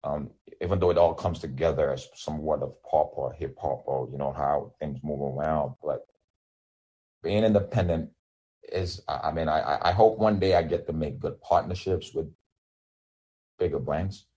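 A man's voice: a bass voice, moderate pace, dark tone, slightly rough, muffled recording. A man in his thirties whose first language is Yoruba, an independent musician talking loosely in an interview with lots of restarts and fillers. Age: 30s. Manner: rambling, many restarts and fillers. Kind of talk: casual interview.

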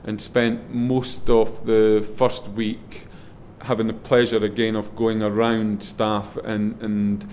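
A middle-aged man with a loud voice, a guest in a relaxed interview, rambling a little with frequent ums and restarts as he talks. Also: fairly low pitch, slow pace, hardly any pauses, a little hiss or hum. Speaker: guest, head of a schools group.

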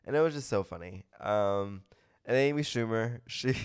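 A man's voice: fairly low pitch, lively intonation, normal speed, smooth. A man hosting a podcast in a casual, gossipy chat, talking with a stuffy nose and gushing, opinionated comments. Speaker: podcast host.

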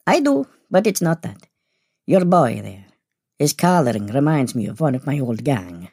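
Audio-drama casting: genteel old vampire gentleman played by a woman, arch and exclamatory, mellow husky tone, wildly swooping delivery. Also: rumbling low, very animated, normal speed, no room sound, studio quality, exaggerated voice. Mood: intent, musing. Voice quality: husky, creaky. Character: chatty genteel male vampire, old, tetchy-fond, theatrical. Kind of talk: acted audio story dialogue.

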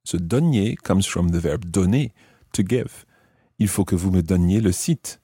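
A man hosting a language lesson, explaining in a deliberate way and repeating phrases word by word. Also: sits low, warm tone, slightly rough, no echo, clean studio sound. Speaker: language teacher and podcast host.

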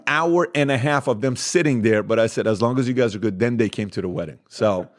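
Animated man telling laughing anecdotes. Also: medium pitch, brisk. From Iran. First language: Armenian. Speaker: podcast guest. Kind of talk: casual storytelling interview.

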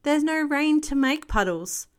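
A woman's voice: sits high, expressive, measured pace, voice pushed up. A woman voicing a patient gazelle playgroup teacher in a storybook, instructive and encouraging.

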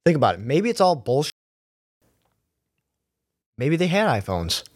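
A man's voice: a little high, big pitch swings, normal speed, darkish tone, no room sound, studio quality. A man in his twenties co-hosting a podcast, musing through ideas in a playful, half-conspiratorial way. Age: 20s. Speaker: podcast co-host. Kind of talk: casual chat.

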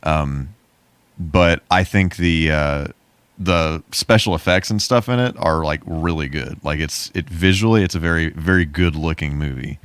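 Low-pitched man chatting casually. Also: measured pace, fairly bright tone, clean tone, no room sound. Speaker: podcast co-host. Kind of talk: casual chat about movies.

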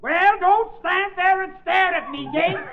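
A theatrical, excitable producer from 1930s radio comedy, pitched very high. He announces absurdities grandly and snaps orders. The dull old recording has an audience and hiss behind him. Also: a little slow. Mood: barking. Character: absurd, excitable, secretive male film producer.

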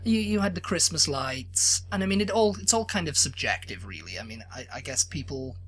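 A man's voice: on the high side, a little fast, slight background noise. A man with a Yorkshire accent, co-hosting a podcast in casual chat, joking and teasing as he tells stories. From England. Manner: joking, teasing, lively storytelling. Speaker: podcast co-host.

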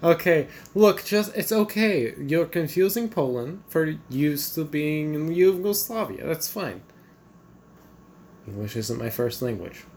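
A woman's voice: low voice, measured pace. A woman voicing a stubborn, dismissive young woman as the country Croatia in a fan comedy podcast. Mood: placating. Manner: flatly denying, evasive, offhand.

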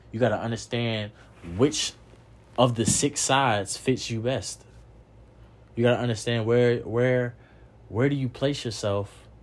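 A young man hosting a podcast, giving a preachy motivational monologue, repeating himself and swearing casually. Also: medium pitch. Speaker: podcast host.

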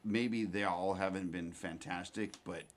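A man in his forties hosting a casual chat, talking in halting, rambling phrases with many restarts. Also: low-pitched, steady tone. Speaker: podcast host.

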